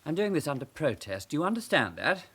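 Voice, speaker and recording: A man's voice: on the high side, big pitch swings, fast talker, fairly bright tone, slight background noise. A man acting in a radio drama as an indignant, pompous con man with a British accent. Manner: pompous, affronted. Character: indignant, haughty male con man suspect.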